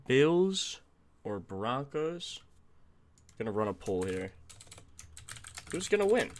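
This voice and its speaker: A young man with a slightly raspy, sore-throated voice, hosting a casual live stream, thinking aloud and greeting viewers in the chat. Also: medium pitch. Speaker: sports betting stream host.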